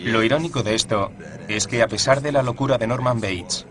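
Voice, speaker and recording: A man's voice: medium pitch, fairly quick, crowd noise, close to an everyday voice. An actor playing a male commentator giving grim testimony in a true-crime radio drama. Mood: grave, ironic.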